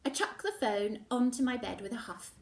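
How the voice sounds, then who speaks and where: A woman teacher reading a novel aloud, expressive and slipping into whispers at tense moments. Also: a little high, normal speed, far from the mic. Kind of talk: storybook reading.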